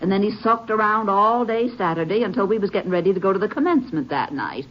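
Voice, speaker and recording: A woman's voice: mid-range voice, expressive, fast talker, natural voice. An actress playing a plain-spoken, disapproving middle-aged country woman with a drawl in a radio western. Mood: earnest recounting. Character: plain-spoken rural stepmother, disapproving and forthcoming. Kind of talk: old-time radio western acting. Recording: dull old radio recording.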